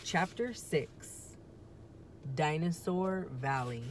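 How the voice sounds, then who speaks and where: A woman's voice: low voice, drawn-out words. A woman reading a children's storybook aloud as a teacher would, narrating the story. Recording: faint background noise.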